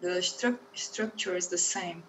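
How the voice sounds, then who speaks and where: A woman's voice: on the low side, measured pace, a bit bright. Chatty woman, off-mic. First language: Portuguese. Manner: chatty, laughs often, many restarts. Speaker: guest. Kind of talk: casual conversation. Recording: distant mic, faint background noise.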